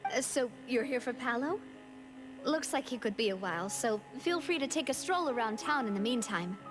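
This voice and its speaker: A woman playing a poised, considerate young lady in a video game, speaking politely and frankly in measured phrases. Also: on the high side, animated, lightly acted, poor audio. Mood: breezy, polite. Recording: clear music behind the voice.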